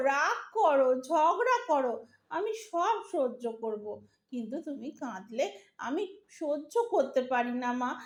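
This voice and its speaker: A woman's voice: sits high, roomy sound. A woman playing an impatient but loving young married Bengali daughter in an audio drama, pleading and emotional, with a Bengali accent. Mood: tender, pleading.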